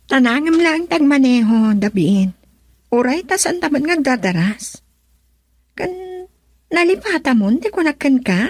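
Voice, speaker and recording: A woman's voice: high voice, very animated, measured pace, no echo, clean studio sound, exaggerated voice. An actress with a Filipino accent playing a flirtatious, coaxing lady employer in a radio drama. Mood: coy, teasing. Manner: coaxing, teasing. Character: coy, flirtatious married lady employer of a driver.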